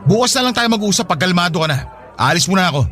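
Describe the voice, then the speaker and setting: A man's voice: sits high, animated, fast, fairly bright tone, faint music behind. A man playing an irritable, evasive boyfriend in an audio drama, defensive and exasperated. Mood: defensive, irritated. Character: irritable, evasive young boyfriend making excuses.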